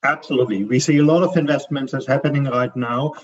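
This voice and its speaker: A man's voice: medium pitch, fast, slightly rough, dull audio. A man from Germany with a strong German accent, answering interview questions as a consultant, hesitating often with ums and restarts. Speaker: supply chain consultant guest.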